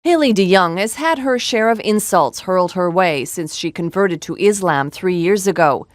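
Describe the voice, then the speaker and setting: A female TV reporter with a fairly low voice reads her scripted report in a crisp, composed manner. She sounds steady and professional. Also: moderate pace, no room sound, clean studio sound. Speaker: television news reporter.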